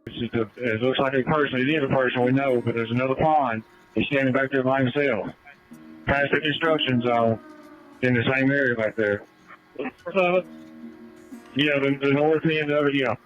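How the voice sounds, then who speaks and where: A man's voice: medium pitch, normal speed. A man talking over a two-way radio as a search responder, in practical dispatch talk. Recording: dull radio audio, faint music.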